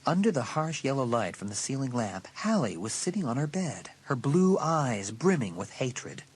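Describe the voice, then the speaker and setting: A man's voice: mid-range voice, sing-song, normal speed, husky, rough recording. A man giving a dramatic, scripted narration of an audiobook story.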